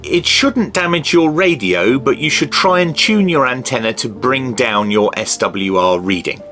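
A man's voice: medium pitch, lively intonation, a little slow, pushed and bright, soft background music. A middle-aged man presenting a casual hobby tutorial, explaining step by step in a hesitant way.

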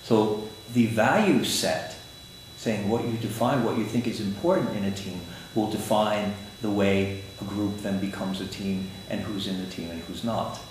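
An older man with a lowish voice explains his ideas calmly and deliberately, with the odd dry joke. The sound is very roomy, picked up by a camera a few feet away in an office, with a faint hum of ambience behind him. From Switzerland, raised in the United States. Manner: measured, explanatory, dry humor. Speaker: interview guest, agile consultant. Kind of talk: conference interview. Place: office room, indoors. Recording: echoey room with faint ambience.

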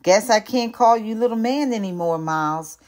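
A woman's voice: a little high, expressive, normal speed, a little mellow, voice pushed up, lightly acted. A woman voicing a kindly, jovial elderly male barber in a picture book, friendly and encouraging.

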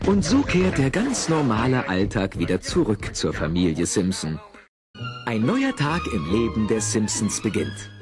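A man narrates a children's audio play in a fairly low voice. His delivery is theatrical and hugely animated, with chatty asides to the listener. Music plays behind him. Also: unhurried.

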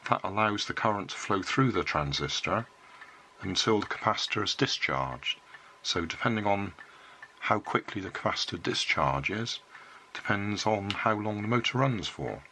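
Older man with a bit of a cold, lowish voice, crisp bright tone, talks you through his workbench build. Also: normal speed, a little hiss or hum, low-quality recording. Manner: calm step-by-step explaining. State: has a cold. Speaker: electronics hobbyist. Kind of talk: casual workbench demonstration. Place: indoor hobby workbench.

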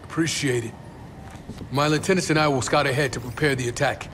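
An actor playing a middle-aged rebel leader in a video game, terse, fierce and authoritative. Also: male voice, mid-range voice, fairly quick, a bit bright, slight background noise, natural voice. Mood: businesslike, urgent. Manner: terse, authoritative, declamatory when rallying. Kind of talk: cinematic video game acting.

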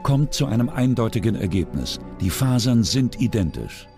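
A man reads true-crime documentary narration with a warm, mellow tone. His pitch rises and falls in lively arcs, and quiet music plays beneath him. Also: normal speed. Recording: soft music underneath.

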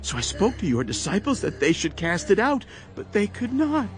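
A man's voice: sits high, huge pitch swings, moderate pace. An actor playing a desperate father in a dramatized audio Bible, pleading with anguished emotion. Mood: distraught. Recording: music under the voice.

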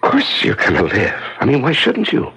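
An actor playing an earnest, lovestruck newlywed son, halting and emphatic, with a husky, gravelly voice in a 1970s American radio drama. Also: male voice, mid-range voice, wildly swooping pitch, noisy background. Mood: anxious, tender. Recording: vintage radio broadcast.

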